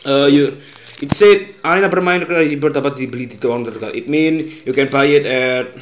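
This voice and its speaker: A man's voice: mid-range voice, a little fast. A young man from Indonesia with an Indonesian accent, chatting casually and swearing often as he unboxes toys. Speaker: toy unboxing host. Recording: muffled echoey room, background noise.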